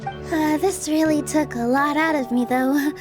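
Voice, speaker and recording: A woman playing a gentle, earnest young woman in a video game dub. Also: high-pitched, fairly even intonation, a little slow. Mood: exhausted. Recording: music behind the voice.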